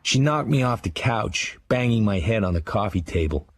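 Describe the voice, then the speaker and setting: An actor playing a haunted, hard-bitten American writer, narrating a memoir in blunt, clipped sentences for a radio drama. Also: male voice, on the low side, lively intonation, moderate pace, a little hiss or hum. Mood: hard-bitten, bleak.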